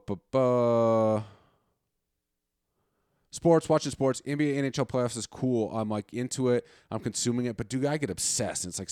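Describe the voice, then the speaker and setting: A deep-voiced man in his late thirties talks off the cuff as a solo podcast host. His delivery is loose and slangy, peppered with curses, and the sound is dry and close. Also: darkish tone, dry recording. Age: late 30s. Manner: profane, slangy, informal. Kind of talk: casual rambling solo chat.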